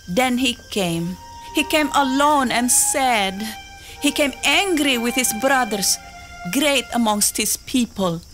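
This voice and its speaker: A fierce, desperate young island woman pours out her heart in slow, poetic pleading. Her voice sits slightly high and unhurried. Faint music plays beneath this audio drama. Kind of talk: full-cast audio drama.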